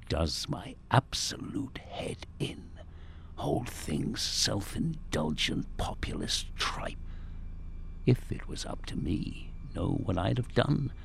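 Casting: a jaded, defensive radio host in dark comedy; low, husky, slow and sardonic. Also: male voice, exaggerated voice. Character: jaded, self-important male radio show host, cynical and defensive. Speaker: actor playing a radio host. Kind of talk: darkly comic radio drama acting.

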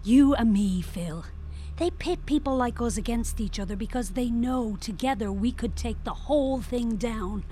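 A woman's voice: fairly high pitch, moderate pace, warm tone, a little hiss or hum, lightly acted. An actress playing a shrewd, persuasive condemned woman in a naturalistic radio drama, terse and commanding. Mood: pleading, rallying. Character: shrewd, persuasive condemned woman, older sister-like, urgent schemer.

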